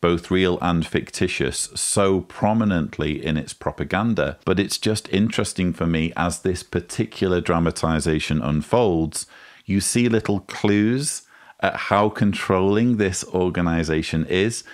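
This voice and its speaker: A man in his forties giving measured, explanatory commentary as a host, recorded close on a studio microphone in a room. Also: deep voice. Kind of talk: critical video commentary to camera. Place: small indoor room with bookshelves. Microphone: studio mic on boom arm, close.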